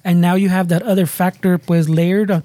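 Fast-talking high-voiced joking man. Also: level tone, mellow, dry recording. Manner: quick, joking, swears. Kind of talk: casual chat mixing English and Spanish.

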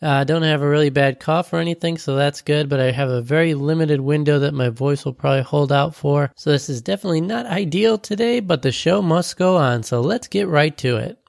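A man with a stuffy, nasal voice from a head cold, pitched a little high for a man, with a gravelly fry at the ends of his phrases. He talks through his points one at a time in an earnest podcast manner. The sound is dry and close, with no room around him. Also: brisk, hardly any pauses, a little mellow, no room sound. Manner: conversational, earnest, explains step by step. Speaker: podcast host. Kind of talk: solo podcast talk.

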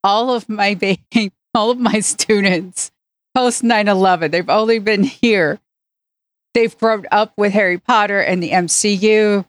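A woman's voice: mid-range voice, unhurried, dry recording, clean studio sound. A woman co-hosting a podcast in a casual, conversational style. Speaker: podcast co-host. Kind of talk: casual chat.

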